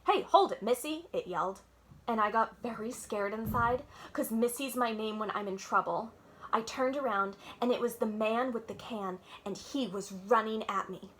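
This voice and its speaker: A woman reads a children's book in a fairly high voice. Her narration is expressive and dramatic, phrased like a child telling her own story. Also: normal speed, a little hiss or hum. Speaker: library storytime reader.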